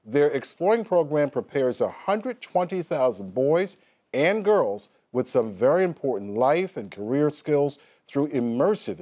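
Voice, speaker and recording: A male television host delivers scripted broadcast copy. His voice has a warm, relaxed tone and sits at an average level of brightness or a little below. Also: mid-range voice.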